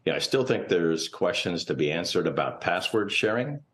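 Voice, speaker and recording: A man's voice: deep voice, lively intonation, normal speed, fairly bright tone, slight background noise. A man speaking as a guest financial analyst, giving unscripted, cautious and analytical commentary in a TV interview. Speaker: portfolio manager and finance professor, guest analyst.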